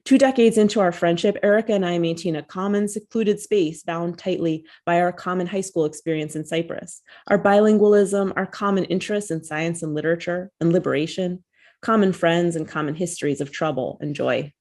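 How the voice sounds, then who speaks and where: Low-voiced woman reading her essay. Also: fairly quick, darkish tone. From United States. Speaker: author. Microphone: video call.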